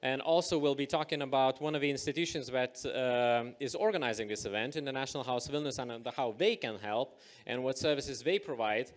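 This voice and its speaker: A man moderating a live panel discussion on stage, upbeat and energetic, with many filler sounds. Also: mid-range voice, fairly quick. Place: event stage.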